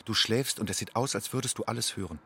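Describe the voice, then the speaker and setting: Radio play role: tired, watchful homeless man, lowish voice, measured poetic monologue. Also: a bit bright, a little hiss or hum. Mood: weary, watchful. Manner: measured, brooding. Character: weary, watchful man living at motorway rest stops. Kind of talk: poetic second-person monologue.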